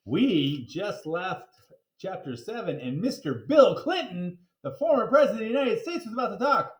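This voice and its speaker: A man reading a children's story aloud like a teacher to a class, in a playful, theatrical way with emphatic drawn-out words. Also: sits high, sing-song, echoey room.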